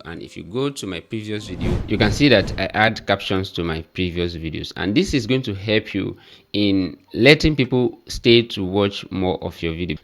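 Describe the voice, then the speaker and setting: A man with a Nigerian accent and a somewhat low voice walks through a tutorial step by step. He talks in an earnest, repetitive way, stressing each point. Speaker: YouTube growth tutor. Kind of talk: tutorial talking to camera.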